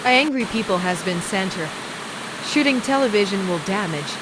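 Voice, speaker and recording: Woman's emergency announcement under loud hiss. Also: mid-range voice, expressive. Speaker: announcer of a fictional emergency broadcast. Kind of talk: scripted emergency broadcast announcement. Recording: loud hiss and rushing noise throughout.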